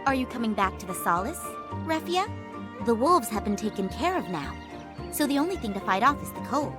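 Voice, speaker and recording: A woman's voice: a little high, big pitch swings, a little fast, music in the background. A woman voicing a bossy, sassy catgirl innkeeper in a video game, with a teasing, wry manner. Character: sassy, bossy female innkeeper catgirl, older than she looks. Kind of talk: animated video game cutscene acting.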